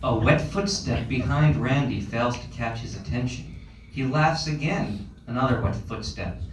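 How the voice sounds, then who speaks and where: A man's voice: medium pitch, a little slow. A man narrating stage directions from a script at a live comedy table read. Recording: echoey room, distant mic, noisy.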